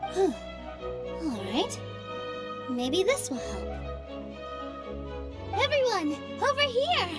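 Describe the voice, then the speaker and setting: A woman voicing a gentle, sunny young dancer in a video game, reassuring and kindly. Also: extremely high pitch, big pitch swings, slow, long pauses, music in the background, full character voice. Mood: gentle encouragement.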